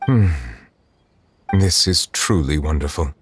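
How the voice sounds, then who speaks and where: A solemn high judge in a game, low voice, formal n measured, bit of music behind. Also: male voice, lively intonation, breathy edge, exaggerated voice. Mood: calm, formal. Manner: formal, measured, deliberate phrasing. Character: formal, composed male chief justice, grave and measured. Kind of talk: video game voice acting.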